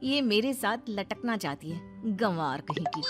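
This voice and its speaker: A woman voicing a haughty young air hostess daughter-in-law in an animated moral story, boastful and dramatic. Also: mid-range voice, measured pace, over music, voice pushed down, lightly acted.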